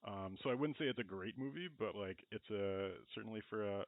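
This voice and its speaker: Male podcast host, slightly low register, conversational and tentative, over narrow phone-like audio. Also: a little fast, dry recording. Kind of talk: casual podcast discussion.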